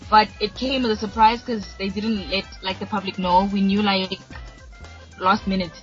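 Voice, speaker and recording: A woman's voice: mid-range voice, fairly even intonation, normal speed. A young woman in her twenties from Mozambique, a native Shangana speaker, talking eagerly and casually in an interview. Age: 20s. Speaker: reality show contestant, guest. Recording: dull lo-fi audio with faint music.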